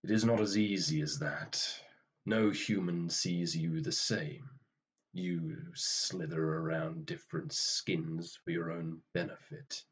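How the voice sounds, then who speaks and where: Guy acting grim reaper type, low husky voice, slow and formal, weary, radio play. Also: fairly even intonation, heavily acted. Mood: dry, grave. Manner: formal, dry, understated.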